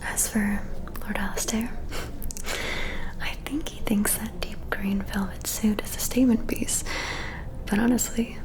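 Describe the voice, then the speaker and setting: A woman's voice: medium pitch, fairly even intonation, a little slow, close to an everyday voice, rough recording. Woman acting a haughty whispering vampire noblewoman. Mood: mocking disdain. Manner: disdainful, theatrical, drawling. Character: haughty, catty vampire noblewoman, vain about fashion. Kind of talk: whispered ASMR roleplay.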